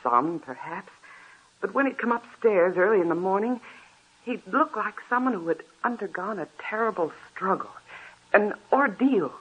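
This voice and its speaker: An actress playing an anxious young wife in a 1940s radio drama, earnest and pleading. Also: female voice, medium pitch, animated, normal speed, husky. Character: anxious young married woman, devoted wife. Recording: muffled old radio recording.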